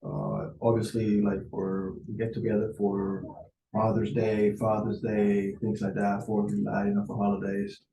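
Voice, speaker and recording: A man's voice: lowish voice, normal speed, creaky, mellow, reverberant, slight background noise, poor audio. Hesitant Venezuelan-born man on a video call. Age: 40s. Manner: hesitant, many fillers. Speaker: client on a sales call. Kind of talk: casual conversation.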